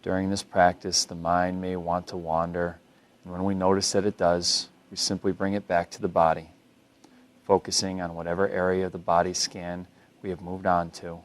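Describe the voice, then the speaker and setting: A man leading a guided body scan meditation as a mindfulness instructor, speaking in a calm, unhurried and gently instructive way. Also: low-pitched.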